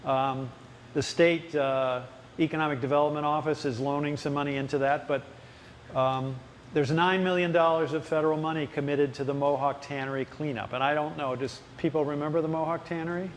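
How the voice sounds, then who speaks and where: A man's voice: fairly high pitch, steady tone, a little fast, a little hiss or hum. A man answering residents' questions at a public town hall meeting, explaining at length with frequent fillers and restarts. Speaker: city official at a ward town hall.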